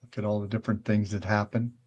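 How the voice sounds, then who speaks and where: A man's voice: fairly low pitch, level tone, fast, darkish tone, highs cut off. Older man rambling as a casual show host. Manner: rambling, conversational, often checks in with "right?". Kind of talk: casual virtual-world tour and chat.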